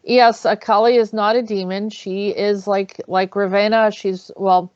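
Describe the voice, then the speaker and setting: A woman's voice: mid-range voice, flat delivery, unhurried, clear, resonant tone, no room sound. Dry, slightly muffled female host. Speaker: online show host. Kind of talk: casual conversational hosting. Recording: dry, slightly dull audio.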